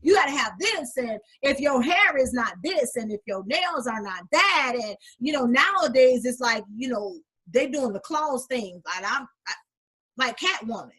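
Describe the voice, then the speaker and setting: Husky, sassy woman chatting with flair. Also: high-pitched, moderate pace, poor audio. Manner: animated, sassy, calls listeners honey. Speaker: podcast co-host. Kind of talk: casual chat.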